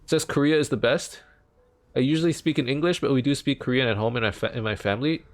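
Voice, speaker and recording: A man's voice: quick pace, no echo. Rambling young man streaming with chat. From Canada. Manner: rambling, filler-heavy, pitch jumps up when animated. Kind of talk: casual chat with live chat while gaming. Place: home.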